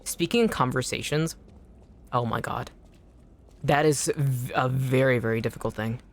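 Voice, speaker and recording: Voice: medium pitch, normal speed. A streamer whose first language is Anishinaabemowin, chatting casually with viewers while playing, swearing and yelping at enemies mid-sentence. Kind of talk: casual gaming stream chat.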